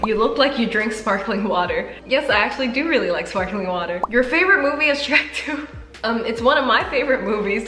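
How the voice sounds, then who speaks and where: A woman's voice: mid-range voice, a bit bright, echoey room. A young adult woman YouTuber chatting casually and candidly as she answers viewers' assumptions about her, laughing easily.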